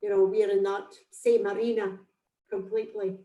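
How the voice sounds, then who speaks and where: Woman chairing a call, warm mellow voice, roomy echo. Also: medium pitch, level tone. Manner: polite, brisk wrap-up. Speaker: committee chair. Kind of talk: closing remarks on a video call.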